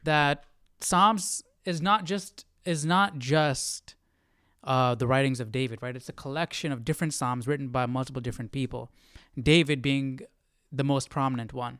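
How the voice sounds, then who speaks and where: A man hosting an informal Bible study, teaching casually and thinking aloud with halting, repeated phrases. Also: mid-range voice, a bit bright, clear, resonant tone, no echo, clean studio sound. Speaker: host of a Bible study live stream.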